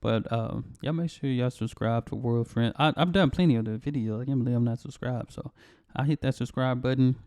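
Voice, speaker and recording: A man's voice: mid-range voice, brisk, hardly any pauses, a little mellow. Laid-back man hosting a reaction. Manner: laid-back, chatty, laughs along. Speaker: reaction video host. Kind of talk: casual reaction commentary.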